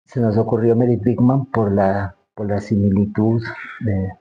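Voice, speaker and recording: An older man with an Ecuadorian accent interviewing in a casual way, halting and repeating phrases as he searches for words. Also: lowish voice, fairly even intonation, breathy edge, narrow, tinny audio.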